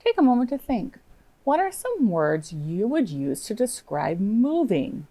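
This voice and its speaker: A woman's voice: a little high, very animated, measured pace, dark tone. An American woman co-hosting a kindergarten lesson, chatting expressively with questions and oohs for young children. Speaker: kindergarten teacher, TV classroom co-host.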